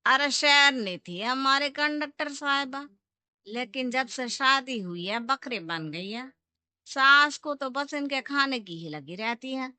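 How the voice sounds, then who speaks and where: A woman's voice: high-pitched, lively intonation, takes it slowly, pushed and bright, no echo, exaggerated voice. A woman voicing an outspoken male bus driver in a moral story cartoon, blunt and reproachful. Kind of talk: animated moral-story character acting.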